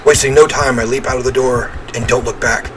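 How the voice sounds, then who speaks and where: A man's voice: medium pitch, fairly even intonation, fairly quick, a bit bright, a little hiss or hum. A man reading a visual novel aloud as he plays, with theatrical, emphatic narration and offhand reactions.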